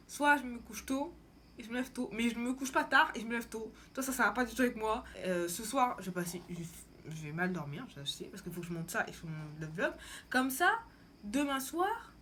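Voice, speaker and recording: A woman's voice: on the high side, lively intonation, normal speed, sharp tone. Casual young woman vlogger, slightly noisy recording. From France. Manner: informal, slangy, rambling. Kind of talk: casual chat to camera.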